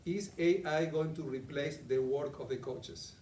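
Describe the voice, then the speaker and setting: A man's voice: fairly high pitch, measured pace, darkish tone, distant mic, a little hiss or hum. A middle-aged man with an Argentinian accent, giving a conversational live presentation and asking the audience questions. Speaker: coaching presenter. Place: meeting room with a live audience. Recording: echoey room, voice from afar.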